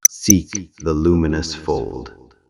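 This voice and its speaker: A man's voice: extremely low pitch, steady tone, vocal fry, a crowd in the background, full character voice. A man voicing an ancient, solemn temple voice. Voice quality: solemn, measured pronouncements. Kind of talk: audio drama.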